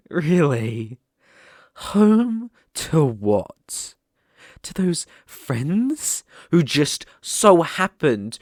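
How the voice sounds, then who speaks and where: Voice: mid-range voice, darkish tone, no echo, clean studio sound, heavily acted. A British-accented performer playing a sadistic, haughty demon in an ASMR roleplay, with a mocking, condescending, theatrical delivery. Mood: cruel mockery. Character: sadistic, haughty demon lord sneering at human sacrifices.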